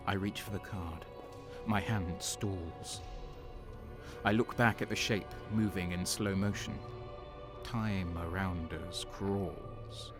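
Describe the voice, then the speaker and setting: A brooding, cynical soldier played by a man tells of dark deeds in a low voice. His delivery is very slow, halting and sombre, an audio drama performance over loud music. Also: steady tone. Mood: tense, ominous. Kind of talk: dramatic first-person narration and audio drama acting.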